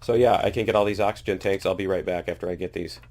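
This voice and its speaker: A man's voice: low voice, fairly even intonation, fast, darkish tone, slight background noise. A man with a cold chatting casually while playing a video game, self-deprecating and laughing at his own mistakes. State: has a cold. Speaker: gaming YouTuber. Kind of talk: casual let's-play commentary.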